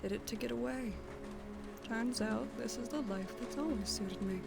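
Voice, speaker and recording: A woman playing a stern, reserved nun with a measured, formal way of speaking in an audio drama. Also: fairly high pitch, gravelly. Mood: solemn. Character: stern, composed, grieving tall nun who gardens. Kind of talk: audio roleplay character acting. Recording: loud music behind.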